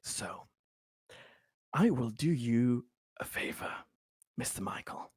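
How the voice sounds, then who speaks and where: A man playing a placating yet firm bureaucratic official in improvised tabletop roleplay, speaking haltingly and officiously with long pauses. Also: mid-range voice, darkish tone, husky, no room sound, studio quality, full character voice.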